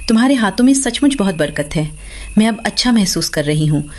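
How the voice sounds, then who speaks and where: A woman voicing a grateful, gentle old female bird in a cartoon moral story. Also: mid-range voice, expressive, brisk, a little hiss or hum, close to an everyday voice. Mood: grateful, relieved.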